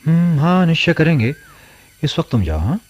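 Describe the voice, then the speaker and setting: A man's voice: a little high, very animated, normal speed, darkish tone, muffled recording. A man acting an ardent but uneasy village lover in a Hindi radio play, theatrical and fervent.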